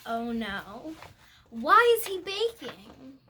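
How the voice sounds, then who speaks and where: Very slow, high-pitched young girl reading aloud. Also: slight background noise. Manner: expressive, halting with stumbles and self-corrections. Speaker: storybook reader. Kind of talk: read-aloud of a picture book with asides to viewers.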